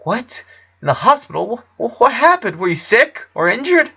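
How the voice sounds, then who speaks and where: Man voicing Clyde, a high-pitched anxious boy. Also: big pitch swings, normal speed, vocal fry, sharp tone, slightly rough, lo-fi sound, exaggerated voice. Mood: alarmed. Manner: eager, anxious, stammering when alarmed. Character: Clyde, nervous, worried, naive young boy, loyal friend.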